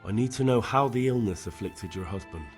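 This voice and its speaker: A quick-talking, fairly low-pitched young man of a medieval world, played by a male game actor. He is an earnest envoy, direct and purposeful, and stays polite. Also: a little mellow, soft background music, natural voice. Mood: matter-of-fact. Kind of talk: video game voice acting.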